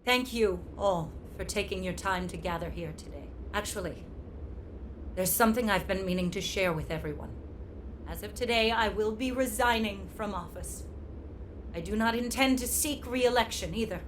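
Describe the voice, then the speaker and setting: An actress playing a remorseful, resolute middle-aged woman mayor in an English game dub, speaking in an earnest, formal, measured way. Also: mid-range voice, background noise, lightly acted. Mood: solemn, formal. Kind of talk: English anime-style game dub acting. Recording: music under the voice.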